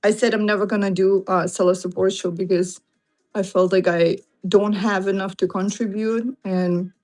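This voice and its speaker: A woman from Lithuania with a Lithuanian accent, hosting a casual late-night livestream from home, greeting viewers and laughing often as she talks. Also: medium pitch. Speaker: livestream seller host. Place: home room, sitting on carpet.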